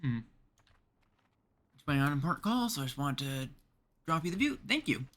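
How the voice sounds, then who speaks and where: Animated game streamer thinking aloud. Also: mid-range voice, normal speed. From Canada. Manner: chatty, thinking aloud in short remarks. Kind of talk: casual live game stream commentary.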